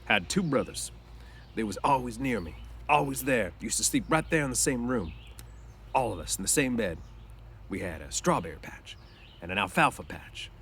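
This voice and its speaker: A man's voice: medium pitch, expressive, moderate pace, fairly bright tone, a little hiss or hum. A man voicing a lonely, bitter, scornful stable hand in a storybook, with folksy, ungrammatical ranch speech. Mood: dreamy.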